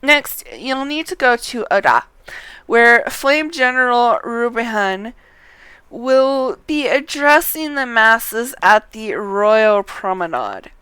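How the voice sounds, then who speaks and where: A woman reads out a polite, chatty quest-giver from a fantasy game. The voice is clean and smooth, with slow, careful pacing. The phrasing is formal and a little fussy. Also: a little high, expressive, fairly bright tone, no room sound. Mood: brisk, instructive. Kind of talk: reading game dialogue aloud.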